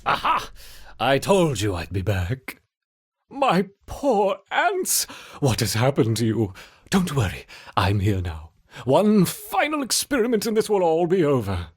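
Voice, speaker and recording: An obsessive mad scientist for a video game. His husky voice lurches wildly up and down, excitable and theatrical, full of frantic devotion. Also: a little high, unhurried, full character voice. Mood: obsessive, doting.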